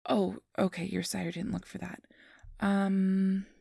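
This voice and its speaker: A woman playing a friendly, easily flustered registration clerk in a soft-spoken ASMR roleplay, gentle, hesitant and reassuring. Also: medium pitch, flat delivery, takes it slowly, creaky, husky, no echo, clean studio sound, heavily acted. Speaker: interviewer.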